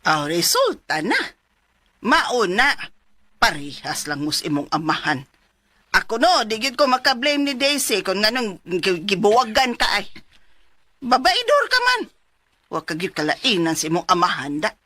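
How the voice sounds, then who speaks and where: A woman's voice: medium pitch, sing-song, a little slow, bright, forceful tone, dry recording, full character voice. An actress with a Cebuano Filipino accent playing a blunt, exasperated middle-aged mother in a radio drama, nagging dramatically. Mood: exasperated scolding. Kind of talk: Philippine radio drama acting.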